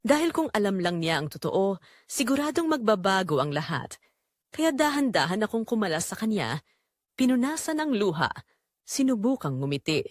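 A woman narrates a scripted first-person drama with measured, emotive delivery. Her medium-pitched voice moves expressively up and down, and the recording is dry and close. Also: sing-song, dry recording.

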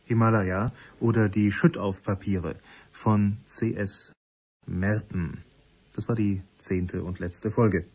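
Male radio announcer, measured scripted delivery, fairly deep pitch, dark tone. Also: steady tone, husky. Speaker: radio announcer reading closing credits.

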